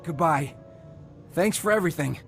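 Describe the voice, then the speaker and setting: An anxious, earnest stranded survivor in a sci-fi game. His voice sits fairly high for a man, and he speaks haltingly, with faint music behind. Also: vocal fry. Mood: grateful. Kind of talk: video game voice acting.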